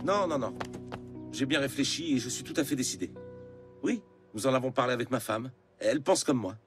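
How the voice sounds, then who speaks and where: A man voicing a preoccupied, puzzled middle-aged father in an animated cartoon, with a fussy, expressive delivery. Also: mid-range voice, brisk, soft background music, natural voice. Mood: decided, polite.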